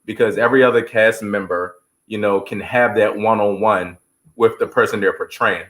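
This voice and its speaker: A man's voice: fairly low pitch, steady tone, normal speed, fairly bright tone. A man giving heartfelt interview answers as a stage actor, telling stories earnestly and quoting scripture like a preacher.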